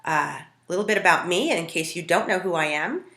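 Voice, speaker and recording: Low-pitched American woman, bright and echoey. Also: lively intonation, brisk. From Montana, United States. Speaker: home cook hosting a food video. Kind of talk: casual storytelling to camera. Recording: roomy, echoing sound.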